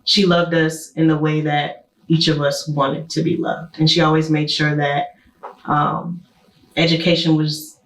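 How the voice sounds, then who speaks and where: A woman's voice: low-pitched, flat delivery, a little slow, roomy sound, rough recording. A twenty-five-year-old woman speaking reflectively in a casual discussion, with frequent "like" and "you know".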